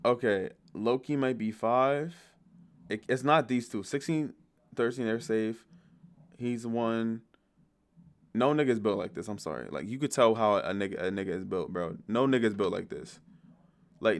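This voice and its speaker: A man's voice: medium pitch, lively intonation, normal speed. A man giving casual, off-the-cuff reaction commentary as a video host, blunt and full of swearing and slang.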